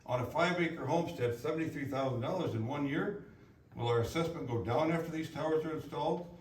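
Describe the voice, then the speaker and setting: A man reads written statements aloud at a public hearing. His voice sits at a middle pitch. The room is echoey and he sounds away from the mic, with faint noise behind. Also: a little fast, distant mic. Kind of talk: reading prepared statements aloud at a public meeting.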